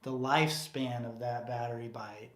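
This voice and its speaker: A man's voice: mid-range voice, fairly even intonation, normal speed, a bit bright. A man co-hosting a casual livestream Q&A, rambling and chatty with lots of fillers and restarts, his voice getting tired from talking. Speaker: co-host of a livestream Q&A. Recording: roomy echo.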